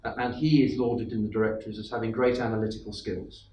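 A middle-aged man moderating a panel, introducing the speakers in a measured way with frequent fillers and restarts. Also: lowish voice, flat delivery, mellow, dull audio. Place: panelled conference room. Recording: echoey room, distant mic, faint hiss.

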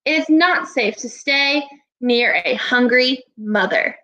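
A woman in her twenties, a teacher giving a read-aloud lesson to young children in a deliberate, instructive way. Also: high-pitched, pushed and bright. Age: 20s. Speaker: elementary school teacher. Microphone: laptop webcam at arm's length.

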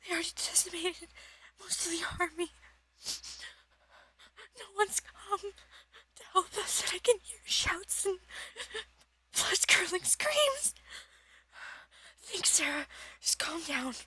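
Woman acting high-voiced frightened, determined young daughter. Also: lively intonation, slow, pauses often, pushed and bright, dry recording, full character voice. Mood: anxious, fearful. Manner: urgent, anguished self-talk. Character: frightened young daughter Sarah, steeling herself under siege.

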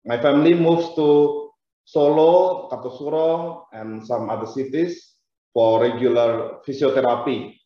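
A man's voice: mid-range voice, fairly even intonation. Indonesian man speaking, echoey dull video call. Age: 40s. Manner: careful, halting, with frequent "uh" pauses. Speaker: guest lecturer. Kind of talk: webinar presentation.

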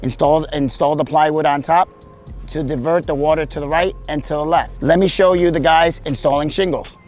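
An energetic man in his forties gives a salesy walkthrough of a roofing job, his voice sitting a bit high for a man. He's recorded outdoors on a roof with a clip-on mic. Music plays clearly underneath. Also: moderate pace. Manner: upbeat, salesy, keeps addressing viewers as "guys". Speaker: roofing contractor and channel host. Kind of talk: casual on-site vlog walkthrough. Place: outdoors on a house roof and front yard.

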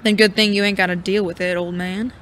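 An actor playing a cocky, foul-mouthed young sheriff in a Western audio drama, with a creaky voice and folksy, combative frontier talk. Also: mid-range voice, flat delivery, fairly quick, a bit bright, a little hiss or hum, lightly acted. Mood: gruff, smug.

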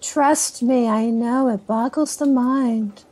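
Actress as candid woman with high voice. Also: fairly even intonation, slow pace, warm tone. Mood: candid, reflective. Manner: conversational, candid. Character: candid, motherly niece of a reclusive author. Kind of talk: naturalistic audio drama interview.

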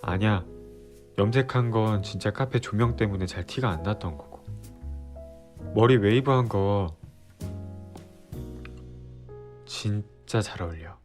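An actor playing an earnest young boyfriend who is clumsy with words, speaking straight to his girlfriend and pleading with her softly. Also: lowish voice, normal speed, faint music behind, close to an everyday voice. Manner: pleading softly, then ranting in a rush. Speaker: boyfriend in a role-play drama. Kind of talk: listener-directed role-play drama.

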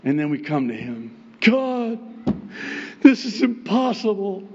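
A middle-aged man whose first language is Arabic, a pastor preaching a sermon from a pulpit microphone on a church stage, animated and chuckling often as he acts out God's replies. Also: high voice, slow pace, dull audio, a little hiss or hum. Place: church stage with wood pulpit and plants, indoors.

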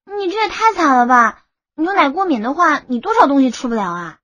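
A woman voicing a lively, nosy college student in an audiobook, excitable and chatty. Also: high voice, fairly quick, no room sound, dull audio. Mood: surprised, concerned. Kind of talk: audiobook character acting.

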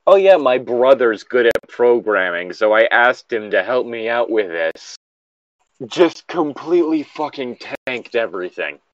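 A man with a middling pitch reads aloud and comments casually, with an exasperated, sweary edge. The recording is close and dry, a little dull and lo-fi. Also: expressive, unhurried, dry recording. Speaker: co-host reading and mocking an online horror story.

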